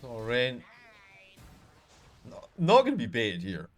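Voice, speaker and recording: A Scottish man casting a live video game match on his own, talking to chat between fights and getting loud and excited in the action. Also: mid-range voice, takes it slowly, soft background music. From Scotland. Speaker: esports caster. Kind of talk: solo live game commentary with chat asides.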